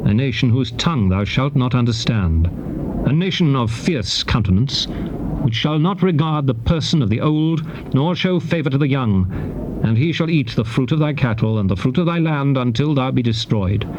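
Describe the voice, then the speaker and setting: Guy playing a grave prophet warning his people, formal bible drama, measured delivery, noisy old cassette. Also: mid-range voice, expressive, lightly acted. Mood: stern warning. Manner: grave, declamatory, measured oratory. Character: Moses, solemn male prophet warning his people of curses. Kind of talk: dramatized scripture reading, formal archaic English.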